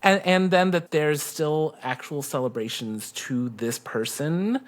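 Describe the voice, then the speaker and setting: A podcast co-host with a medium-pitched voice chats casually. The delivery is informal and giggly, with an easy storytelling flow of personal anecdotes. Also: measured pace. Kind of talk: casual chat.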